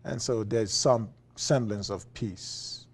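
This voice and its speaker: A man with a Liberian accent, a philosophy professor being interviewed, talking in a relaxed way with frequent fillers and chuckles. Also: on the low side, slow, breathy edge. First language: Liberian English. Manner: chuckling, many uh fillers and restarts. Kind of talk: conversational interview.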